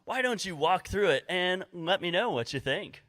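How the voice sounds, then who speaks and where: A man's voice: high voice, animated, moderate pace, a bit bright. A man playing a coaxing real estate agent, eager to sell, in a scripted fairy-tale parody. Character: smooth-selling male real estate agent, eager to please. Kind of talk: scripted stage parody sales pitch.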